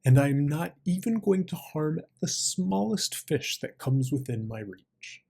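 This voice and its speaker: A man's voice: fairly high pitch, animated, a little slow, vocal fry, darkish tone, pitched above the performer's own voice, full character voice. A man voicing an old crane in a storybook fable, a schemer who sounds grave, philosophical and persuasive. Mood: feigned remorse. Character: old male crane, scheming, feigning sorrow and wisdom.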